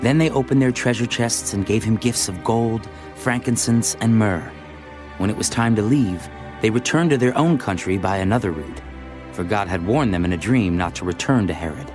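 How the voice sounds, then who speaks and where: A man's voice: fairly low pitch, normal speed. A man reading scripted narration for a dramatized audio Bible. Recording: faint music behind the voice. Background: music.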